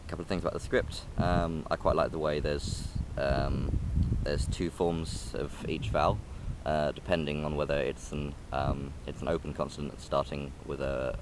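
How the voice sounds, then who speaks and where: A man's voice: a bass voice, level tone, normal speed, hardly any pauses, background noise. A young man vlogging outdoors, hesitant and rambling with many ums and restarts. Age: young adult. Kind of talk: casual rambling vlog. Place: outdoors in a park by a railway line. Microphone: phone or camera held close.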